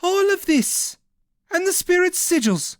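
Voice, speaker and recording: A storyteller voicing a feverish young woman mage who speaks in a sharp, strained, defiant way. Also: very high-pitched, sing-song, a little slow, no echo, clean studio sound, voice pushed up, exaggerated voice. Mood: grave.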